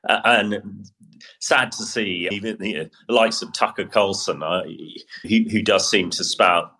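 Male military pundit, very low pitch, crisp forceful edge, plain-spoken commentary. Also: unhurried. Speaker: military analyst and adviser.

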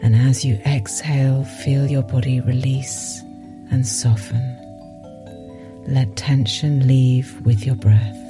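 A woman with a soft, breathy voice guides a meditation in a soothing way, pausing at length between phrases. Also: rumbling low, fairly even intonation, music in the background. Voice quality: soft, breathy, sometimes near a whisper. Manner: slow, soothing, with long pauses. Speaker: meditation podcast host and guide.

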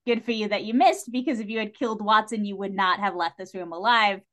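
A woman's voice: medium pitch, a little fast, a bit bright. A woman in a casual, opinionated discussion as a podcast guest, speaking with emphatic enthusiasm.

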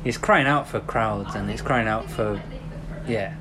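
A man's voice: on the low side, a little slow. A man co-hosting a podcast in a pub, easygoing and talking in loose, half-finished phrases. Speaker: podcast co-host. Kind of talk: casual pub chat. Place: busy pub. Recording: clear background noise.

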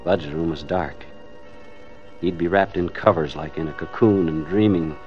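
A man's voice: low-pitched, unhurried. An American actor playing a weary, hard-boiled family man narrating his hostage ordeal in an old-time radio drama. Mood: grim, brooding. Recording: dull old radio recording with music.

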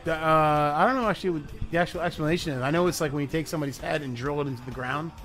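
A man's voice: fairly high pitch, very fast, a bit bright, faint music behind. A man hosting a casual podcast who laughs often and swears freely. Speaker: podcast host. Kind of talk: casual chat.

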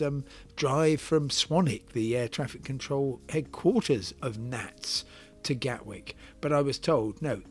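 A man hosting a podcast, giving a semi-scripted news commentary in a measured, explanatory way, with hesitant pauses and emphatic stresses. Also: mid-range voice. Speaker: podcast host and travel commentator.

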